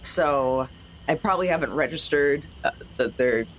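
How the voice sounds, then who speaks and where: A woman's voice: sits low, normal speed, slight background noise. A woman playing a tabletop game, talking casually and hesitantly as she thinks aloud.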